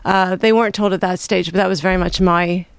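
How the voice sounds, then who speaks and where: Canadian-accented author, calm and reflective, clean and resonant delivery. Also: medium pitch, smooth. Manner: measured, reflective. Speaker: author guest. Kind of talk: interview answers.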